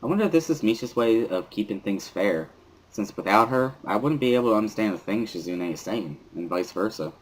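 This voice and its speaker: A man's voice: on the low side, animated, fairly quick, slightly rough, rough recording. A man reading first-person scripted narration from a visual novel as a dramatic reading.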